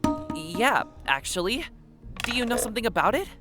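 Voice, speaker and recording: A woman plays a troubled youth who keeps secrets. The voice is a little high with a forceful, bright edge. The delivery is hesitant and defensive, as in game roleplay drama, with faint music behind. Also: sing-song, moderate pace. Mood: guarded. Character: guarded, troubled, evasive youth keeping secrets. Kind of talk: Minecraft roleplay voice acting.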